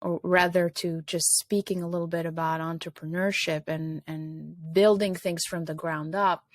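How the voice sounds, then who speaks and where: A woman's voice: lowish voice, level tone, normal speed, no room sound, clean studio sound. A middle-aged woman from Albania, a guest in an interview, speaking reflectively with many fillers.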